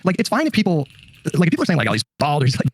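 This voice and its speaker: Husky, very quick-talking man. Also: on the high side, wildly swooping pitch, dry recording. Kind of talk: casual streamed conversation.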